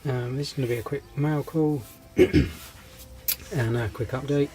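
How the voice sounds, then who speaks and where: A man's voice: mid-range voice, unhurried, mellow, faint music behind. Bunged-up man rambling casually. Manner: hesitant, many ums and restarts, friendly. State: congested with a cold, losing his voice. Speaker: scale model hobby channel host. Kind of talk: casual rambling vlog update.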